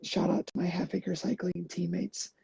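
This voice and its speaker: A middle-aged woman talking earnestly in an interview, halting with many fillers and restarts, a little hoarse. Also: on the low side, flat delivery, mellow, no room sound. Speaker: podcast guest, endurance athlete. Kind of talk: casual interview talk.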